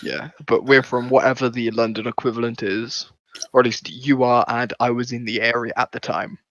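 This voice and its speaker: A man's voice: mid-range voice, steady tone, moderate pace, fairly bright tone, dry recording. Chatty male player on a dull mic. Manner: chatty, asks lots of questions. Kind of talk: casual tabletop game chat.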